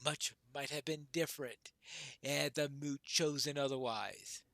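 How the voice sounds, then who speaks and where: A man's voice: fairly high pitch, fairly even intonation, a little slow, creaky, fairly bright tone, no echo, pitched below the performer's own voice. A man voicing a solemn, battle-ready dwarf lord in formal, declamatory fantasy game dialogue. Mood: solemn, reminiscing.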